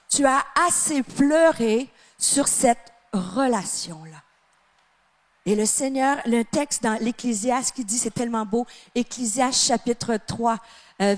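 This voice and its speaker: A Canadian woman with a Québécois accent preaching a sermon to a congregation, stressing phrases emphatically and then dropping to a soft, quiet tone. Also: a little high. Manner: emphatic, drawn-out phrases with sudden drops to a soft tone. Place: church with live and online audience.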